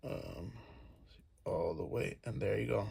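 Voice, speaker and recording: A man's voice: on the low side, slow, darkish tone. A man giving an informal hands-on DIY tutorial, talking through each step as he works. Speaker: DIY video host. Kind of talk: casual hands-on tutorial talk. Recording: clear background noise, clicks.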